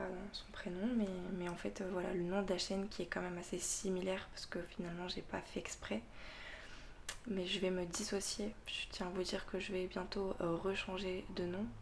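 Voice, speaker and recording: Soft-spoken woman, slow and hesitant. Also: on the low side, creaky, a little hiss or hum. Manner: slow, hesitant, with many fillers and restarts. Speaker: tarot reader and channel host. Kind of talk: unscripted casual vlog monologue.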